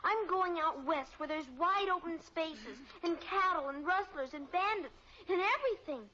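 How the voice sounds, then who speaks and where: A woman's voice: very high-pitched, normal speed, sharp tone. An actress playing a chatty, insistent little girl who rambles through her stories in a radio sitcom. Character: chatty little girl, youngest daughter, undeterred. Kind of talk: 1950s radio sitcom acting. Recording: dull old radio recording, faint noise.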